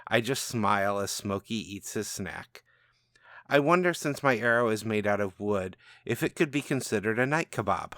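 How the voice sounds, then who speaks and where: Storybook princess, male reader: reclusive, smart, dry and scornful, lowish register, very dry sound. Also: animated, moderate pace, fairly bright tone, dry recording. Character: agoraphobic, smart, scornful young princess guarding her pet. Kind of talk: first-person storybook narration.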